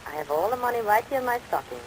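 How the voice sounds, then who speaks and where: Sultry, deadpan saloon woman played by an actress. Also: medium pitch, racing, lightly acted. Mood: coy. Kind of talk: 1940s radio comedy acting. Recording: noisy old radio broadcast, rain overlaid.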